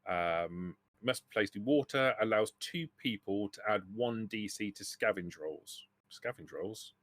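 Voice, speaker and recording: A man with a fairly low voice runs a tabletop game as game master. His talk is casual and recorded close and dry, with very little room sound. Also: a little slow, a bit bright, no room sound.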